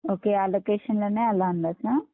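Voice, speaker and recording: A woman's voice: medium pitch, fairly quick, no echo. A woman working as a customer care agent, speaking politely in support phrases, apologetic and reassuring. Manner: apologetic, reassuring, deferential with frequent "sir". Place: on a phone line. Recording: tinny, narrow phone call recording.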